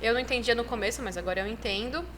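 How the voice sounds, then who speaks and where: A woman's voice: a little high, very fast, fairly bright tone, a little hiss or hum. A woman, a chiropractic dean chatting as the guest on a podcast, upbeat and full of fillers. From Brazil. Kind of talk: casual podcast interview.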